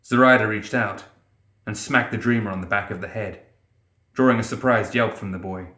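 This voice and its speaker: A male audiobook narrator with a fairly low, forceful voice. He reads scripted fiction dramatically, in a room with noticeable echo around the voice. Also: moderate pace.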